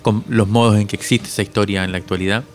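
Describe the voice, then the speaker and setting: A man in his forties from Cuba, speaking reflectively as an interviewed author, often halting as he searches for words. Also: on the low side, steady tone, faint music behind.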